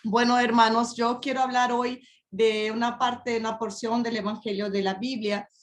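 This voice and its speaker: A fairly high-voiced woman with a Brazilian Portuguese accent delivers a sermon, speaking carefully in a language that is not her first and using frequent hesitant fillers. She builds toward emphatic rhetorical questions, and her voice rings out in a roomy, echoing church hall. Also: level tone, moderate pace. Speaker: preacher.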